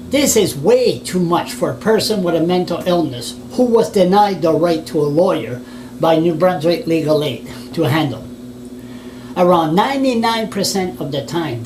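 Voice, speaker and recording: A Canadian man, a blogger, reading his written complaint aloud in an indignant, plain-spoken way with offhand asides and restarts, on a noisy recording. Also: high-pitched.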